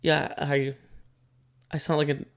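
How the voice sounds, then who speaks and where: A man's voice: a little high, level tone, slow, vocal fry. A man in his twenties from Mexico with a Mexican accent, co-hosting a podcast in a laid-back, joking way with stumbling restarts. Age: 20s. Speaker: podcast co-host. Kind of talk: casual chat.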